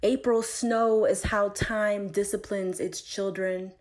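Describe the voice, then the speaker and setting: A woman recites poetry at a very unhurried pace, in a mid-pitched voice. She sets out each phrase deliberately, with pauses between them, as a poet reading her own work. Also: steady tone.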